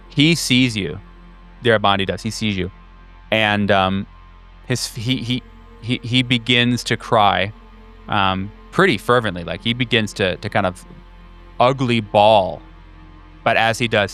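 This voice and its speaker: A man working as game master, improvising narration for a horror tabletop game in a halting, informal way. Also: fairly low pitch, fairly bright tone. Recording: music behind the voice. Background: background music.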